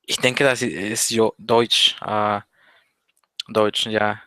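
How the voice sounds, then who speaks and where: Teen guy, slow hesitant talk, bright super clear voice. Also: on the low side, little pitch movement, no room sound. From Mexico. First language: Spanish. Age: teens. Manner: hesitant, many pauses and fillers. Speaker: interview guest, language learner. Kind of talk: casual interview chat.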